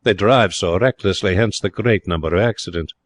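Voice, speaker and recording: A male narrator performs a young male artist from a storybook, all indignation and despair. He declaims in exclamations, pitched above the narrator's low register, with a close, dry sound. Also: a little fast, dry recording. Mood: indignant. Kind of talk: storybook character voice in audiobook narration.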